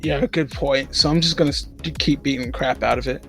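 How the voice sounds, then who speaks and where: A man's voice: mid-range voice, fairly even intonation, brisk, creaky, fairly bright tone, faint music behind. A man playing a tabletop game, chatting casually in a joking, informal way.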